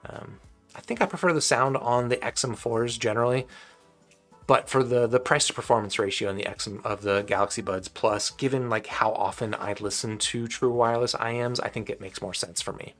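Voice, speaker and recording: A man's voice: medium pitch, brisk, fairly bright tone, soft background music. A man hosting a live stream, answering viewer questions about audio gear in a casual, rambling way, his voice getting dry and tired. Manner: rambling and conversational, with frequent restarts.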